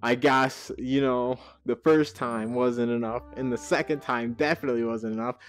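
A young man chatting casually while gaming, animated, joking and swearing freely. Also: mid-range voice, normal speed. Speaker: gamer in a group session. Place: online VR game voice chat.

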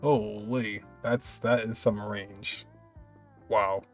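A man in his twenties reacts casually to a video. He talks slowly at a medium pitch, in amused, muttered exclamations. Faint music sits behind him. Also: steady tone. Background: music from the video he is watching.

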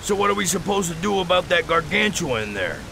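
A man's voice: sits high, very animated, a little fast, creaky, background noise, full character voice. A man voicing a nervous working-stiff henchman in a video game, complaining in slangy talk. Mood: exasperated.

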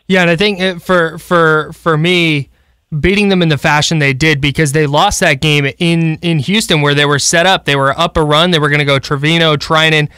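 A man's voice: a little high, fairly even intonation, normal speed, bright, forceful tone, smooth, dry recording. A man co-hosting a casual sports radio interview, speaking into a close microphone in a small studio.

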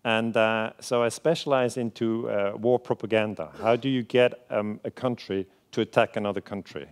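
A man in his forties with a Swiss German accent delivers a conference keynote on a theater stage, speaking in an earnest, conversational way with frequent filler sounds. His voice sits somewhat low, and he explains points plainly to the audience as a lecturer. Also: fairly even intonation, normal speed. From Switzerland. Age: 40s.

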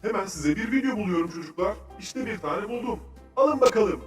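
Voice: mid-range voice, lively intonation, a little fast, lightly acted. A performer playing a cheerful, knowledgeable child who explains nature facts enthusiastically in a children's cartoon. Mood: helpful, instructive. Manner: instructive, enthusiastic, articulate. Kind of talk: children's educational cartoon dialogue. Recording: roomy, distant sound with faint music.

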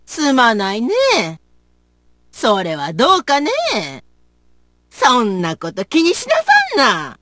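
A woman's voice: a little high, huge pitch swings, slow pace, pauses often, pushed and bright, no echo, studio quality, exaggerated voice. A woman voicing a plucky, folksy big-sisterly warrior in a video game, with tough motherly phrasing. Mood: easygoing, rueful. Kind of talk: video game battle voice lines.

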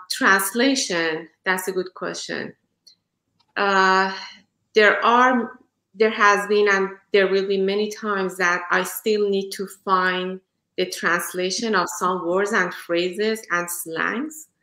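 A middle-aged woman, a native Farsi speaker, answering thoughtfully on a panel over a video call, pausing on "uh" and "um". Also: medium pitch, steady tone, pushed and bright. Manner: measured, with frequent "uh" and "um". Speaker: panel artist. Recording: roomy video call audio.

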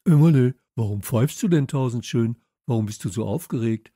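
Casting: loud slangy lad for children's story, husky, teasing male reader performing. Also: mid-range voice, animated, normal speed, no room sound, clean studio sound. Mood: excited, loud. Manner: blunt, exclamatory, slangy. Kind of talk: children's audiobook character voice.

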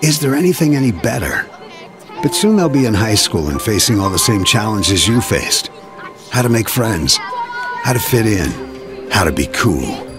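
Expressive male announcer over music. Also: on the low side, a little slow. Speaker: public service announcer. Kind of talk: scripted public service message.

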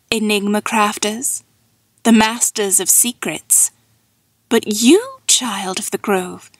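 A woman's voice: a little high, no room sound, clean studio sound. A woman playing an ancient, all-knowing sphinx in a fantasy audiobook, speaking in a dreamy, formal drone with cool menace. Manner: dreamy, droning monotone, formal and cryptic.

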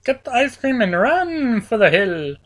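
A woman's voice: fairly high pitch, sing-song, unhurried. A woman with a cold, her voice cracking, giving playful, rambling commentary over a video game with lots of fillers. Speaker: gaming channel host.

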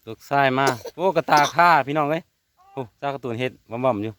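A man's voice: mid-range voice, quick pace, fairly bright tone, smooth, no room sound. A man with an Isan Thai accent chatting casually to viewers in a vlog, outdoors among rural trees. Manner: chatty, keeps calling out to viewers. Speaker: vlogger.

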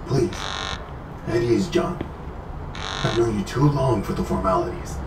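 Man as polite doctor, lowish voice, informal authority, audio drama, echoey, noisy backdrop. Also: measured pace, natural voice. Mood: soothing, informal. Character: courteous male doctor, familiar and unhurried authority. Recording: echoey, with clear vehicle noise behind.

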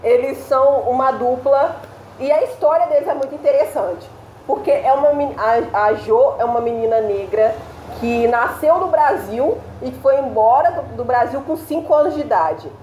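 A young woman talks enthusiastically in a fairly high, mellow voice. She sits indoors before a plain wall, with a roomy echo and faint background hiss. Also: moderate pace. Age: young adult. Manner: enthusiastic recommending, chatty. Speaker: co-host. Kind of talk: casual chat.

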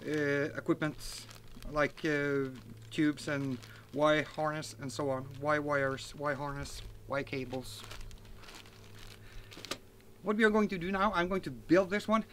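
A man's voice: a little high, a bit bright, slight background noise. A man in his forties, a native Norwegian speaker, chatting casually outdoors in a garden as he unboxes and reviews a model plane, with plenty of fillers and self-corrections. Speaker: RC hobby reviewer.

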